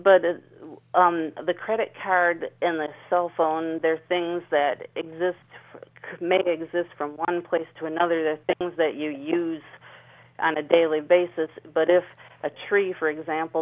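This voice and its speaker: Phone-line sound, woman, radio call-in guest, little pitch variation, earnest explaining. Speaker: interview guest. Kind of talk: phone interview on a radio show. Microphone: telephone. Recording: tinny narrow phone line.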